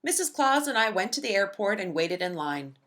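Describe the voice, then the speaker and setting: A woman reading a children's picture book aloud in an expressive, emphatic storytelling manner. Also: medium pitch, brisk.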